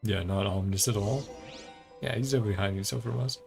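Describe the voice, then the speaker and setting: A man's voice: on the low side, fast talker, creaky, music in the background. A man streaming a video game, giving casual, rambling commentary with muttered asides.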